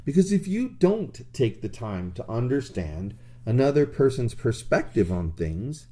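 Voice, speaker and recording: Animated, crude-talking man co-hosting a podcast. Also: mid-range voice, a little slow. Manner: blunt, animated storytelling with crude jokes. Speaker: podcast co-host. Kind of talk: casual chat.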